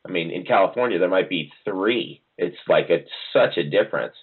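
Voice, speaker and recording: A man from New Jersey chats as an interview guest in a low, easygoing voice. He rambles and restarts often, filling pauses with ums. The sound is narrow and phone-like. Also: lively intonation, husky. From New Jersey, USA. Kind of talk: casual interview answers.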